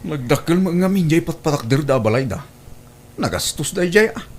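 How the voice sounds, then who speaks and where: An actor plays a nosy village man in an Ilocano comedy drama. His delivery swings widely in pitch, mocking and full of questions, with an Ilocano accent. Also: animated, a little fast. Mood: mocking, curious. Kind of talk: Ilocano comedy radio-style drama acting.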